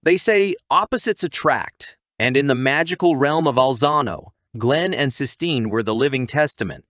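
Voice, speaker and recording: A man's voice: medium pitch, lively intonation, moderate pace, fairly bright tone, no echo. Theatrical male recap narrator, dry studio sound. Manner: playful, theatrical storyteller addressing the audience.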